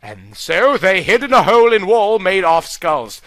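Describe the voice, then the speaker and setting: A man reading a clumsy fan story aloud in a deadpan, mock-earnest comic style, close to the mic. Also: high voice, unhurried, no echo. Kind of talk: comedic dramatic reading.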